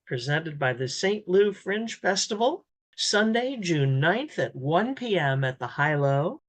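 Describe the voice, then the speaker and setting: A woman hosting a radio interview, asking questions and giving announcements. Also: low voice.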